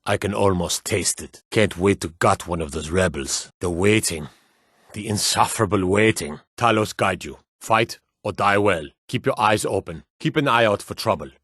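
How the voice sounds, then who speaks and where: A man playing a stern soldier guard in a fantasy war video game, with a gruff, commanding manner. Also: fairly low pitch, normal speed, fairly bright tone, no echo, clean studio sound. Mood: impatient, hostile, then steady, resolute. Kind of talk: scripted fantasy game dialogue barks.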